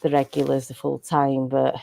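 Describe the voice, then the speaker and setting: A woman's voice: a bass voice, monotone, moderate pace, creaky, mellow. A Welsh woman, a native Welsh speaker, chatting as a podcast guest, rambling and laughing often. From Wales. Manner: giggly, rambling with frequent laughs and restarts. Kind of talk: casual interview chat.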